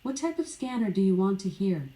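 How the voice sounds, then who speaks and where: Mellow synthetic woman assistant in a roomy space. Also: medium pitch, big pitch swings. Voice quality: synthetic, evenly measured. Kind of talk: scripted synthetic prompts. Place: indoor room, from a speaker on the floor. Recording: smart speaker playing in a roomy space.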